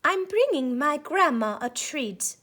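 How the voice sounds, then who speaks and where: Plucky young storybook girl, played by a woman: high, bright, wide-eyed and exclaiming. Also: very animated, slow, heavily acted. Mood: cheerful. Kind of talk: storybook character voice.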